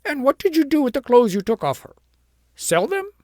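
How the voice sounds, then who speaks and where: A storybook reader voicing a grief-stricken queen who wails in high, drawn-out cries. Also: darkish tone, no room sound, studio quality, natural voice. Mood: accusing. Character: shrieking grief-stricken queen, hysterical mother.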